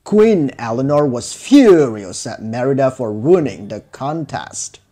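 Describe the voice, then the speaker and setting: Husky, slow-paced man telling a fairy tale. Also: medium pitch, very animated, mellow. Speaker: storyteller. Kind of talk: scripted children's fairy tale narration. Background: music.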